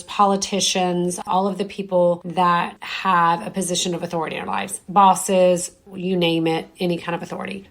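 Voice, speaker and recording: Middle-aged woman host, hoarse and strained, lowish voice, chatty and reflective. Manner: reflective, conversational, many fillers. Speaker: podcast host. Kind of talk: solo podcast talk.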